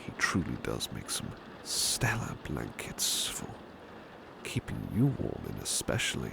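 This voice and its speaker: Very deep, gravelly British butler played by a man. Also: very animated, measured pace, exaggerated voice. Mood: tender, reassuring. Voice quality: soft, breathy, often whispered. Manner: tender, deferential, reassuring. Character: devoted, gentle male butler soothing a sleepy master. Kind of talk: ASMR roleplay. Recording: clear background ambience noise, rain. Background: rain ambience.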